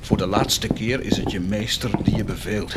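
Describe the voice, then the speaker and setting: An actor playing an impatient, fervent nobleman in a radio drama, commanding and insistent, shifting abruptly between soft and forceful. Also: lowish voice, moderate pace, very noisy, natural voice. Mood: angry, commanding.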